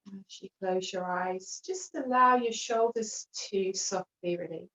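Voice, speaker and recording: A woman teaching yoga, guiding a relaxation practice with gentle, unhurried phrasing and long pauses. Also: medium pitch, echoey room. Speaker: yoga teacher leading a guided relaxation class. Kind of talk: guided meditation instruction. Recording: dull, lo-fi video call sound.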